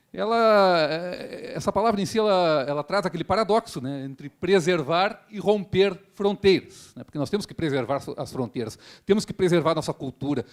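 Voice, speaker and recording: A man, a public prosecutor giving a formal seminar speech in an earnest, rhetorical way with frequent tag questions. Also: a little high. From Rio Grande do Sul, Brazil.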